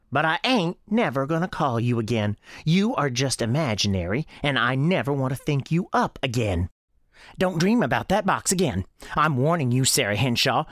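A performer voicing an angry, defiant young girl with a Southern US accent and sharp, folksy speech, in an audio drama. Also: mid-range voice, no room sound, studio quality, pitched above the performer's own voice, close to an everyday voice.

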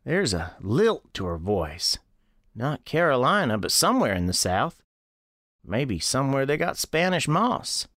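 Actor as folksy old country storekeeper, animated delivery. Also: male voice, medium pitch, no echo, clean studio sound. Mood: uneasy, watchful. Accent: American. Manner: folksy, unhurried storytelling. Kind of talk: first-person scripted storytelling in radio drama.

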